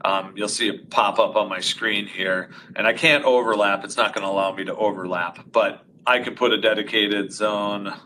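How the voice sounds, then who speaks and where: Loud, bright-voiced man demoing gear. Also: lowish voice, fairly even intonation, normal speed, hardly any pauses, slight background noise, poor audio. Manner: loud, talkative, explains step by step. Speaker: audio installer demonstrating conferencing setup. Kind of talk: live technical demo. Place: conference room.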